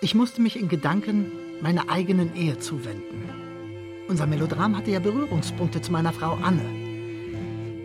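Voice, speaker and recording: An actor playing a devoted, self-deprecating middle-aged silent-film director in a radio play, with deliberate, theatrical phrasing. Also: male voice, high-pitched. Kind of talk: first-person radio play narration and dialogue.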